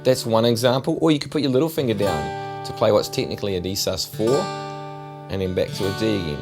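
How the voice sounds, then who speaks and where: A middle-aged man with a New Zealand accent, giving a relaxed, chatty guitar lesson. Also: lowish voice, fast talker. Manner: relaxed, chatty, repeats himself while demonstrating. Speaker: guitar teacher. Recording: loud guitar over the voice. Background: acoustic guitar playing.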